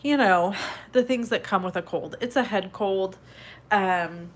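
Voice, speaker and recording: A woman's voice: medium pitch, measured pace. Stuffy-nosed woman with a head cold. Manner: sniffly, clears throat now and then; chatty and upbeat. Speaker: card-making host. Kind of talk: casual live tutorial chat.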